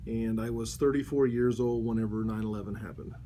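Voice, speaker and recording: A man in his forties answering interview questions, pausing often with ums and restarts. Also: on the low side, steady tone. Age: 40s. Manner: halting, many ums and restarts. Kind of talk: recalling and explaining in a casual interview. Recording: background noise.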